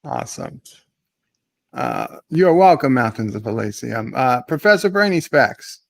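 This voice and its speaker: A man hosting a casual livestream chat, relaxed and thanking his viewers by name as he wraps up. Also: medium pitch, measured pace, creaky, no echo, studio quality. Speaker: host.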